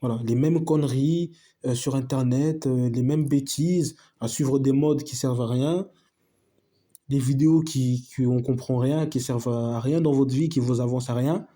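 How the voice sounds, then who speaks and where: A man's voice: mid-range voice, fairly even intonation, normal speed, dark tone. A man giving a casual opinion monologue to his viewers, emphatic and repetitive, full of slang and asides to his friends. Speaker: video host.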